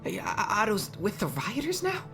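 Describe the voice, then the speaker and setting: A man's voice: high-pitched, wildly swooping pitch, moderate pace, gravelly, over music, pitched above the performer's own voice, heavily acted. A man voicing a bewildered, doubtful male sidekick in an audiobook, with a questioning, incredulous manner. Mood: confused, startled. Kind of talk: audiobook character acting.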